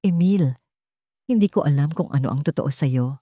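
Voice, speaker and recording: A woman's voice: sits low, animated, normal speed, dark tone, no echo, studio quality. A woman voicing a hurt, betrayed young woman who confronts her crush in an accusing, wounded way, in a story. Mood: hurt, doubtful.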